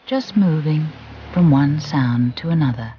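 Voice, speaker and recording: A soothing, gentle voice guiding a relaxation and visualisation exercise, deliberate and with long pauses. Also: mid-range voice, lively intonation, a little hiss or hum. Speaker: guided relaxation instructor. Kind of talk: guided visualisation narration. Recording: muffled recording with music behind. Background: background music.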